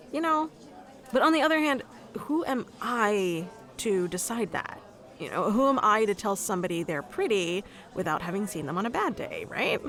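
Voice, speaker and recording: A woman acts out a shy, nerdy young woman in an intimate ASMR roleplay. She speaks at a middling pitch, hesitant and self-conscious. Her sentences ramble and stumble as she addresses one listener directly. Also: fairly bright tone. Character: shy, nerdy young woman, awkward stranger in coffee shop.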